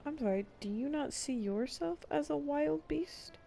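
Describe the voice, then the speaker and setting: A woman's voice: sits high, animated, measured pace, vocal fry, warm tone. A woman streamer giving sassy, sarcastic live reaction commentary over a video game. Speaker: gameplay streamer. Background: game music.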